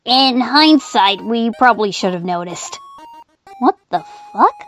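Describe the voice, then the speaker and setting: A woman's voice: a little high, lively intonation, a little slow, a bit bright, faint music behind. A woman voicing an earnest, easily exasperated young child in a comedic comic dub, hesitant and pleading. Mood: rueful.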